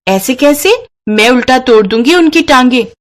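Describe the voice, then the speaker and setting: A woman's voice: sits high, lively intonation, normal speed, pitched above the performer's own voice. A woman voicing a playful, indulged seven-year-old village girl in a cartoon story, childlike, cheeky and dramatic. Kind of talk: Hindi moral-story cartoon voice acting.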